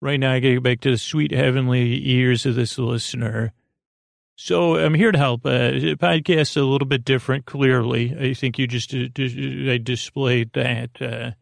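A man hosting a sleep podcast, talking in a meandering, halting, whimsical way with a creaky voice. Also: medium pitch, no echo, studio quality. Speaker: podcast host. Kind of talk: rambling bedtime sleep podcast monologue.

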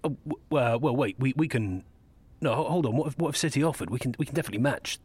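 A man's voice: medium pitch, expressive, fast talker, a little mellow, slightly rough, no room sound. An actor playing a harried male football club chief executive in an audio drama, flustered and exasperated.

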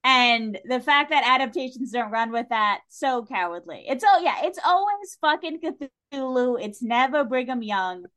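A woman's voice: sits high, lively intonation, unhurried, few pauses, fairly bright tone. Casual chatty woman, podcast guest. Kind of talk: casual chat.

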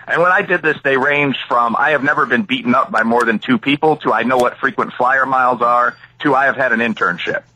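A man's voice: mid-range voice, fairly quick, few pauses, sharp tone, no echo, rough recording. A middle-aged American man from the Chicago area giving analytical, opinionated interview answers as an academic, full of asides and examples. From Chicago area, United States. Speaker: interview guest, academic and author.

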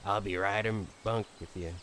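Low, terse, suspicious man, acted. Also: steady tone, moderate pace, a bit bright, a little hiss or hum, lightly acted. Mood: wary, terse. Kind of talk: audio drama acting.

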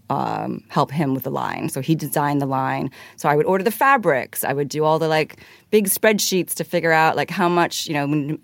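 A woman's voice: low voice, no room sound. A woman from Hong Kong, a guest in a studio interview, talking in a chatty, rambling way with many "like"s and restarts. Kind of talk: casual interview answer. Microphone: studio mic.

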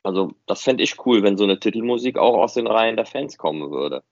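Male podcast host, deep-leaning voice, casual conversational delivery, muffled lo-fi sound. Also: animated, fairly quick, no echo. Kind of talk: casual unscripted podcast chat. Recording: muffled, dry, band-limited audio.

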